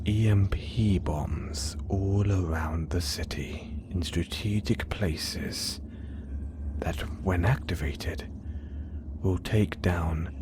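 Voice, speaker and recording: A man playing a scheming, obsessive male supervillain who speaks in a hushed, whispery voice, smooth and coaxing with a quiet threat underneath. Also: low-pitched, background noise. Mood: menacing. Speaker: villain in an audio roleplay. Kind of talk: audio roleplay acting.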